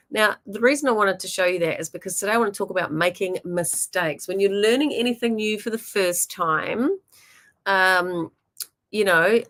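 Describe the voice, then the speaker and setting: A woman with a New Zealand accent teaches painting in a loose, chatty way. She encourages her viewers and fills her talk with ums and you-knows. Her voice sits at a middle pitch for a woman. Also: a bit bright. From born in Germany, raised in New Zealand.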